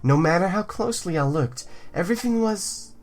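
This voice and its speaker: A man's voice: high-pitched, lively intonation, moderate pace. Man reading a visual novel aloud. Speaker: gaming video host.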